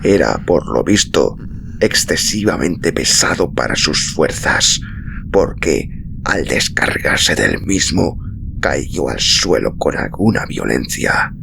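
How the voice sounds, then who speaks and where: A man narrates literary fiction in an extremely low voice with heavy gravel. The delivery is slow and measured, with dramatic pauses and a lively pitch range. There is clear noise in the recording. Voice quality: deep, gravelly. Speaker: audiobook narrator.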